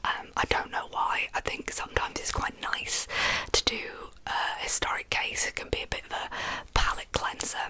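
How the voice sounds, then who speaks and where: A woman telling true crime as ASMR in a breathy whisper, with informal, chatty asides. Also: moderate pace, pushed and bright.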